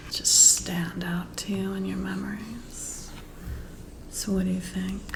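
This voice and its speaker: Female ASMR performer, soft-spoken and half-whispered, slow and intimate, playing an appreciative boss in one-sided chat. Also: medium pitch. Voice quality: soft, breathy whisper with creaky edges. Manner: unhurried, flattering, intimate, as a friendly boss.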